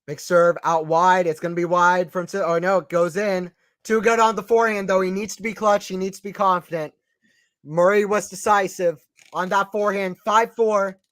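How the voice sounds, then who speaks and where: Slightly southern american guy doing live tennis play-by-play, high voice, dry close sound, gets excited on big rallies. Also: moderate pace, no echo. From Atlanta, Georgia, US. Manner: excitable, rises sharply on big points; casual, chatty, answers chat questions. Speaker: play-by-play stream commentator. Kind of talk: live play-by-play commentary on a watchalong stream.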